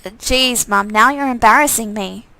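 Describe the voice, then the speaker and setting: A woman's voice: fairly high pitch, a little slow, gravelly, bright, forceful tone, no echo, full character voice, poor audio. A woman voicing an uneasy, embarrassed grown-up daughter in a visual novel, sardonic and exasperated. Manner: reluctant, exasperated.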